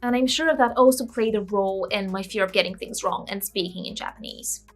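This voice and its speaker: A woman's voice: mid-range voice, a little fast, soft background music. A woman in her twenties from Bulgaria, a native Bulgarian speaker, narrating a scripted vlog in a candid, self-deprecating way. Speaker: language-learning vlogger.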